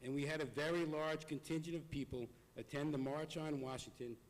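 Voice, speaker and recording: A hoarse man delivering a prepared, earnest appeal to a congregation in a synagogue. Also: on the high side, steady tone. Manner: earnest, measured, reading from notes. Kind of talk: prepared speech. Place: synagogue sanctuary. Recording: long hall reverb.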